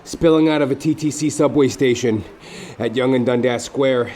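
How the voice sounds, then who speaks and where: A man playing a wry, lecherous private detective, narrating a noir parody audio play in a florid, deliberate deadpan. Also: medium pitch, a little hiss or hum, close to an everyday voice.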